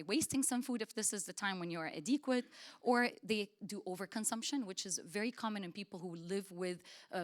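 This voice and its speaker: A woman from Lebanon giving a conference talk as a nutritionist and academic, earnest and emphatic, with frequent restarts and fillers. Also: on the low side. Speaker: conference speaker. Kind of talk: conference presentation. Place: conference hall.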